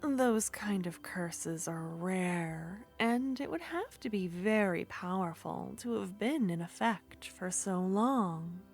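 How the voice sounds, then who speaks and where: A lonely young witch voiced by a woman coaxes and flatters in a hushed, half-whispered ASMR voice. She lingers over every word at a very slow pace, and faint music plays underneath. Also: medium pitch, gravelly. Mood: coaxing. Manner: soft-spoken, slipping into whispers, coaxing and flattering. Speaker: ASMR roleplay performer. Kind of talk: ASMR roleplay.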